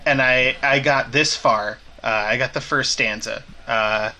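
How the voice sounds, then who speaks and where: Brief: male podcast host, bright tone, relaxed and halting delivery, faint background noise. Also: medium pitch. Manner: relaxed, hesitant with frequent "uh". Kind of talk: casual podcast chat.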